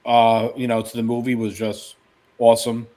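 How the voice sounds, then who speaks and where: A man's voice: medium pitch, completely flat delivery, moderate pace, mellow. A man co-hosting a podcast, talking in a casual, conversational way with friends. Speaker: podcast co-host. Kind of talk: casual group chat.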